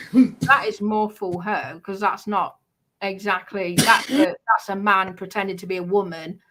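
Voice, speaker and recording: A woman chatting casually and explaining in a halting way, repeating phrases for emphasis. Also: mid-range voice, noisy background.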